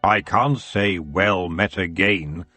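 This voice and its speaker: A man reading an audiobook, voicing a huge, menacing male elf king who talks slowly and smoothly. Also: low-pitched, bright, forceful tone, no echo, soft background music, voice pushed down, full character voice. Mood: silky, intrigued. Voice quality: smooth, drawling. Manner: slow, lazy and silky, turning cold. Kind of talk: audiobook character voice.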